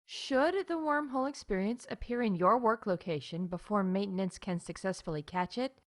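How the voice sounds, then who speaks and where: Woman performing a female sci-fi theme park announcer, medium pitch, animated intonation, deadpan corporate cheer, emphatic asides, scripted comedy podcast. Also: lightly acted.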